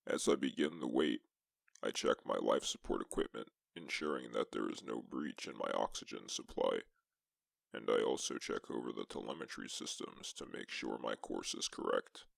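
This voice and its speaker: A man narrating as a lone astronaut explorer in a suspense science-fiction story, with a gravelly voice and measured, deliberate delivery. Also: very deep voice, no echo, full character voice. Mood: measured, solemn. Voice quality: gravelly, creaky. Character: lone male astronaut explorer recounting a tense exoplanet mission. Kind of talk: scripted first-person sci-fi story narration.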